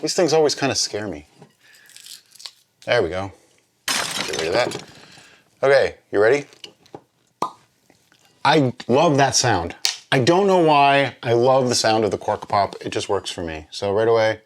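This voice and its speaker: A man hosting a drinks review vlog, chatting casually and explaining as he muses aloud, with pauses and little check-ins. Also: medium pitch, expressive, a little hiss or hum. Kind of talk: casual chat, tasting review.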